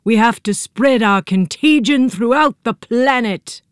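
Gloating slimy egg-yolk invaders voiced by a woman reader, drawled slow and high, crisp dry sound. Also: no room sound, close to an everyday voice. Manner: gleeful, mocking, drawn-out. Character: sneering egg-yolk invaders, plural, menacing and jokey.

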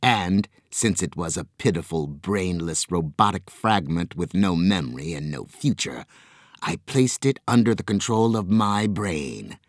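A man voicing a menacing part-human squid monster that hisses and snarls slowly. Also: deep voice, expressive, dry recording, studio quality. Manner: slow, hissing, snarling.

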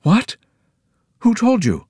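A man plays a wary girl sleuth in a storybook, hushed and suspicious, whose voice leaps up shrilly when something startles her. The reading is dry and close. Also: fairly low pitch, lively intonation, a little slow, dry recording. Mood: startled. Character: sharp, suspicious young girl, sister.

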